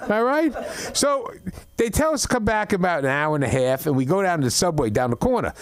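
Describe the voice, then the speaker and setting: A middle-aged man doing an open mic stand-up set, rambling through stories with stammered restarts and deadpan complaints. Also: high voice, fairly quick, clear, resonant tone, dry recording, clean studio sound. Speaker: stand-up comedian. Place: comedy club open mic.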